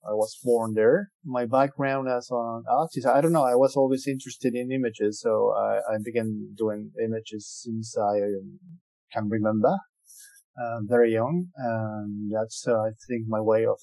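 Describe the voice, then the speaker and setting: A man from Venezuela, a guest artist in a podcast interview, who talks in a halting way, repeating words and searching for phrases. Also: on the low side, mellow, low-quality recording.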